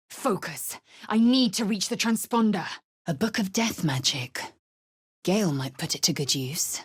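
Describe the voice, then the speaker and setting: A proud alien warrior woman from a fantasy video game. Her voice is low and husky with a rasping edge. She speaks in slow, clipped, formal phrases, stern and scornful, as one used to giving orders. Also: no echo, studio quality, exaggerated voice. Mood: commanding. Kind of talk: dramatic game dialogue and narration.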